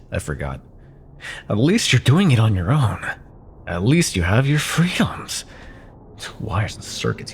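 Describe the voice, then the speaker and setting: A man's voice: on the low side, creaky, slight background noise. A man playing an arrogant, possessive corporate CEO ex-lover in a cyberpunk ASMR roleplay, sardonic and menacing, with condescending pet names. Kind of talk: ASMR roleplay, dramatic monologue.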